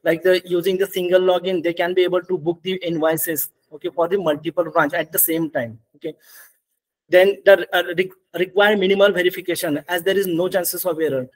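A man with an Indian accent walking through software slides as a webinar presenter, in halting, repetitive phrasing. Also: high voice, steady tone, poor audio. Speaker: webinar presenter, ERP consultant. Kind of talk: software demonstration and slide walkthrough. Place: indoor room, on a video call.

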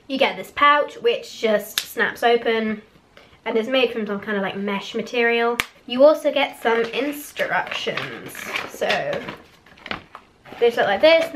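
A teenage girl vlogging from her bedroom, chatty and candid, with a hoarse voice that keeps cracking after a cough. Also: on the high side, a bit bright, slight background noise. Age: teens. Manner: chatty, candid, a little giggly. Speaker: vlogger reviewing products. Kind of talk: casual chat to camera. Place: small bedroom with posters on the wall. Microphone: webcam in front of her face.

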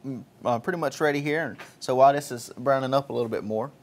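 A man's voice: mid-range voice, fast. Man, cooking show host, upbeat, asks questions, kitchen. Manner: upbeat, leading questions, says "wonderful" and "okay". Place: station kitchen, indoors.

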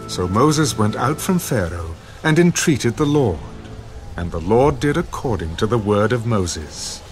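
A man narrating scripture in a scripted dramatic style, solemn and measured. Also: fairly low pitch, sing-song. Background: music.

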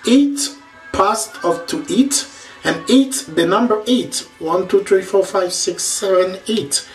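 A man's voice: high-pitched, slow, distant mic. Congolese french accent guy vlogging at home, lots of uh and repeats, roomy echo, music playing behind. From Democratic Republic of Congo. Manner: rambling, repetitive, many fillers. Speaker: video host. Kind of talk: casual home vlog. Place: small home bathroom, indoors. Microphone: camera mic from across the room.

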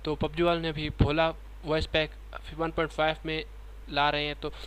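A man's voice: a little high, monotone, normal speed, a bit bright, a little hiss or hum. A man introducing a video as a gaming channel host.

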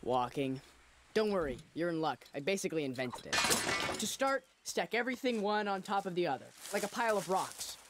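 A young man voicing a cocky, breezy adolescent sea-monster boy in an animated film, with a youthful voice and a boastful, casual way of instructing. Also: high-pitched, brisk, very noisy. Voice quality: youthful, boyish voice. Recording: film music behind.

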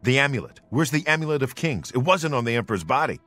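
An actor playing a dutiful imperial bodyguard knight in a fantasy game, grave, earnest and soldierly. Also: male voice, medium pitch, animated, fast, pushed and bright, no room sound, soft background music. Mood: grieving, distressed. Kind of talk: fantasy video game acting.

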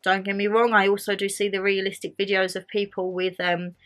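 Bright, bubbly young woman. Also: medium pitch, fairly quick. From England. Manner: bubbly and chatty, expressive hand gestures. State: lingering cold. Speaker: vlogger. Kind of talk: casual chat to camera. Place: bedroom, indoors.

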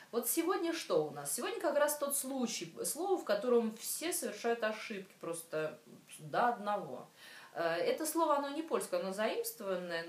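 A woman in her thirties teaching a language lesson straight to camera, talking casually with frequent hesitations and slowing down for careful examples. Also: mid-range voice. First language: Russian. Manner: chatty, many hesitations, slow careful Polish examples. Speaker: Polish language teacher and show host. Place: small room, indoors. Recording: echoey room, voice from some distance.

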